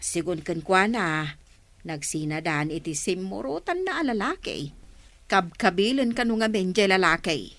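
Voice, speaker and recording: A woman playing a talkative, outspoken female relative in a radio drama, with an animated and expressive manner. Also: fairly low pitch, unhurried, dry recording. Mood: gossipy, frank. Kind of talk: Ilocano radio drama acting.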